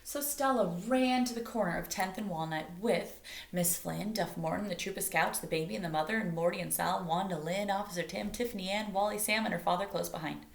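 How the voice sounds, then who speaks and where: A woman's voice: medium pitch, a little fast, vocal fry, a little hiss or hum. A woman reading a children's story aloud expressively as a storyteller, recorded in an echoey room. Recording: roomy, echoey indoor sound.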